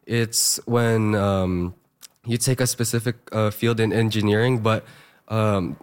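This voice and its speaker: A hoarse young man, a fresh high school graduate, talking earnestly with many fillers as a radio interview guest. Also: fairly even intonation, measured pace. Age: young adult.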